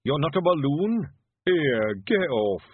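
A man's voice: fairly high pitch, very animated, slow pace, breathy edge, no room sound, voice pushed up, exaggerated voice. A man voicing a nervous little male shopkeeper who begs and pleads anxiously. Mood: frantic. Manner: anxious, begging. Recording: tinny phone-like sound.

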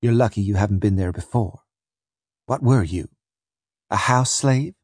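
A young enslaved woman, voiced by a male audiobook reader, speaks in a soft, husky voice. She is scornful and worn down, sneering with bitter resignation. The sound is close and dry. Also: lowish voice, unhurried, dry recording. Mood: weary, bitter. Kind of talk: audiobook character voice.

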